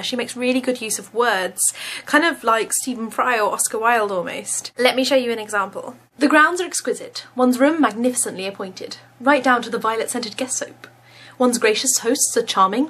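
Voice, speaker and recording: A woman with a husky voice reviewing a book and reading excerpts from it aloud. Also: a little high.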